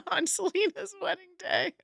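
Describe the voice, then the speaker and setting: A woman co-hosting a casual podcast over a video call, giggly and upbeat, her voice a little raspy after a weekend wedding. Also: very high-pitched, dry recording, studio quality. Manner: giggly, upbeat, frequent laughs and fillers. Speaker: podcast co-host. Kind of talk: casual chat. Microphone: video call setup.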